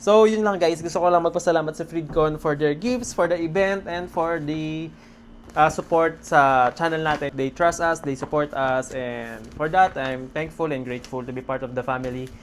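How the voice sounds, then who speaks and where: A man with a Filipino accent vlogging casually about his day, speaking in an excitable, appreciative way. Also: a little high, moderate pace. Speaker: motorcycle vlogger. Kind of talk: casual vlog talk to camera. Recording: noisy background ambience.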